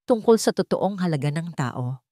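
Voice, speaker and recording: A woman's voice: fairly low pitch, lively intonation, normal speed, mellow, no room sound, close to an everyday voice. A commanding company chairman played by a woman narrator in a storybook drama. He is wealthy, stern and speaks with cold authority, recorded dry and close. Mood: cold anger.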